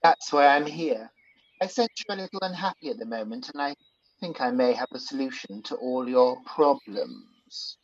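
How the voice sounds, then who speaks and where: An actor playing a scheming, smooth-talking villain who poses as a soothing conscience in a radio pantomime. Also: male voice, medium pitch, normal speed, highs cut off, lightly acted. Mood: sly, soothing. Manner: smooth-talking, persuasive, insinuating.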